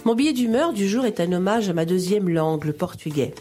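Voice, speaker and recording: A woman reading her scripted radio column in a measured, reassuring, explanatory way. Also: medium pitch, expressive, dark tone, faint music behind.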